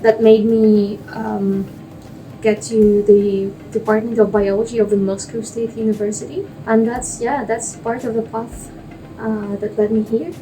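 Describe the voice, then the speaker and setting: Mellow young Russian woman, slightly distant. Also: fairly high pitch, level tone, unhurried, soft background music. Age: young adult. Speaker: guest, biomedical researcher. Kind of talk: explanatory interview answers for a lay audience.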